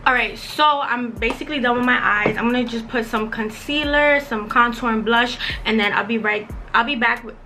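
A woman's voice: a little high, normal speed, hardly any pauses, music in the background. A young woman chatting casually and slangily as a vlogger, rambling along with lots of filler words. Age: young adult, around twenties. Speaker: beauty and lifestyle vlogger. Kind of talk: casual chatty get-ready-with-me vlog. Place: bedroom at home, indoors.

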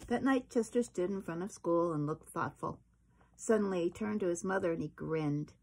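A woman's voice: lowish voice, moderate pace, a little mellow, husky. A middle-aged woman reading a picture book aloud to children in a small room. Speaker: storybook reader. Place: small wood-panelled room indoors.

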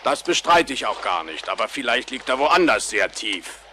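A man's voice: mid-range voice, big pitch swings, normal speed, heavy background noise, lightly acted. A man voicing a dry, talkative, reassuring bird companion in a cartoon audio play. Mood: sceptical.

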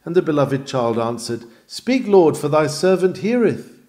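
A man reading a sermon aloud in a solemn, measured, formal manner. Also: mid-range voice, mellow. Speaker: reader of a sermon.

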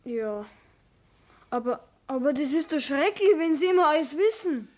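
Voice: sits high, animated, moderate pace, darkish tone. A performer playing a timid, guilty teenage boy apprentice in a 1960s radio play, hesitant and stammering, with a Bavarian accent. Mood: nervous, guilty.

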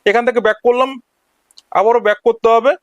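A man's voice: very high-pitched, fairly even intonation, quick pace, no room sound, dull audio. A man narrating an instructional screen-recording tutorial, explaining each step in turn as a voice-over. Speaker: tech tutorial host.

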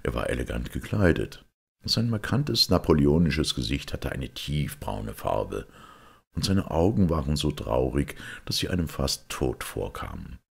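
A man narrates a detective story as an audiobook reader. His voice is extremely deep and gravelly, and his literary delivery moves through a lively range of pitch. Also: measured pace.

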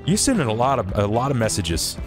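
A man roleplaying casually as a tabletop game player in a halting, stammering way. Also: medium pitch, sing-song. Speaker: player in an actual play podcast. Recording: music clearly behind voice.

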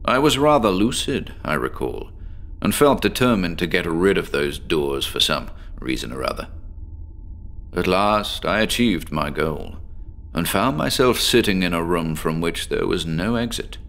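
A sleepless, eccentric professor confides in his doctor in a very low, dark voice. He talks in measured, deliberate phrases with dramatic pauses, in the manner of a horror radio play. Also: animated, gravelly, heavily acted. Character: eccentric obsessive male professor, sleepless, confessional. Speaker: patient recounting his experiment to a doctor. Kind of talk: horror audio drama monologue.

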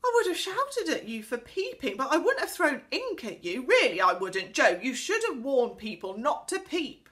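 A woman voicing an angry little pixie in a children's storybook, yelling and scolding indignantly. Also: high voice, very animated, moderate pace, hardly any pauses, voice pushed up. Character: angry pixie, bad-tempered little tree dweller.

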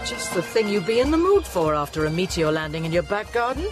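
Animated, dry-witted scientist. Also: female voice, on the low side, quick pace, loud music behind the voice. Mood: keen, wry. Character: sharp, inquisitive woman scientist, dry-witted. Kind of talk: radio drama acting.

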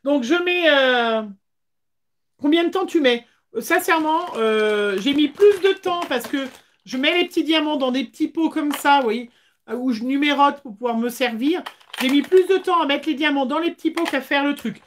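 A woman, chatting casually as the host of a craft livestream, with hesitant pauses. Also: high voice, slight background noise. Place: small indoor craft room.

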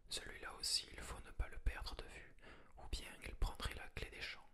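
A reader whispering the lines of a wild, cruel little robber girl from a fairy tale, in the same hushed voice as the narration. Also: moderate pace, lightly acted. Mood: teasing. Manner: whispered throughout. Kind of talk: whispered ASMR storybook reading.

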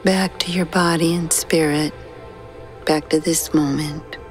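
A woman guiding a sleep meditation in a slow, soothing, hushed manner with long pauses. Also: low voice, fairly even intonation. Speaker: meditation guide. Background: soft background music.

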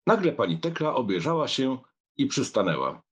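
A man narrating a literary audiobook in an expressive, measured storytelling manner. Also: medium pitch, slightly rough.

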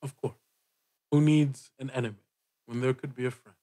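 A man's voice: medium pitch, fairly even intonation, dark tone, close to an everyday voice. Man playing a haughty, guarded church dignitary. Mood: cool, formal. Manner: formal, haughty, deliberate. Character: proud, guarded church dignitary, cold and imperious. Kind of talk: in-character tabletop roleplay.

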